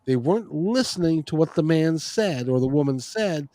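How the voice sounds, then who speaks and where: A man's voice: a little high, expressive, normal speed, mellow, no echo. A middle-aged man hosting a podcast, talking casually and rambling, with frequent restarts. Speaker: podcast and radio show host. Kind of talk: casual interview chat.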